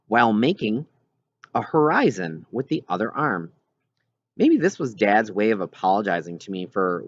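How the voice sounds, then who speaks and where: A man reading a children's novel aloud as scripted narration, with expressive storytelling delivery. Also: fairly low pitch, no room sound, dull audio. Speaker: audiobook reader.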